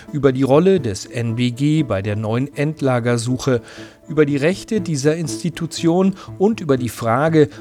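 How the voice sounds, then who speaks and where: A man's voice: medium pitch, a little mellow. Male German-style interviewer, music behind. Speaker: interviewer and radio host. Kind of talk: interview questions and presenter narration.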